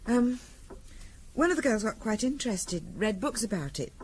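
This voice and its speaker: An actress playing an earnest middle-class English wife drawn to the occult, hesitant yet gently insistent, in 1970s radio drama style. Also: female voice, on the high side, animated, moderate pace, lightly acted. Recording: noisy old radio drama recording.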